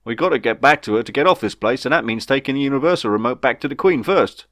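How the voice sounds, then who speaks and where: A man's voice: medium pitch, animated, fast talker, fairly bright tone, dry recording, studio quality, pitched above the performer's own voice. A man voicing an emphatic, colloquial, matter-of-fact shopkeeper character in a storybook. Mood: resigned, despairing. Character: gloomy bearded human shopkeeper, matter-of-fact and easily excited.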